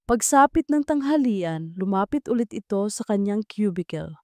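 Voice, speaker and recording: A woman's voice: medium pitch, animated, moderate pace, warm tone, dry recording. A woman storyteller reading scripted romantic drama narration with a dramatic delivery.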